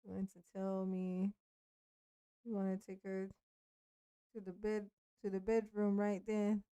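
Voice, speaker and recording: A woman's voice: medium pitch, completely flat delivery, a little slow, mellow, smooth, dry recording, low-quality recording. A woman in her forties chatting casually on a live stream, speaking bluntly and profanely with frequent restarts and laughs. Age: 40s.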